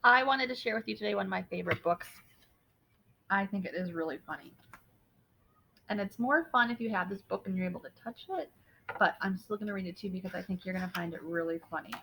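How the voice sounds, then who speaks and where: A woman reading a picture book to children, talking casually and directly to her listeners in an expressive way. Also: mid-range voice, fast, creaky, slight background noise, poor audio. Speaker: storybook reader. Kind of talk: children's read-aloud, casual address to young listeners.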